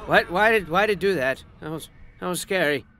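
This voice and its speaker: A man's voice: sits high, expressive, fairly quick. A man giving theatrical comic narration for a video game, mock-grandiose and slipping into childish, stammering asides.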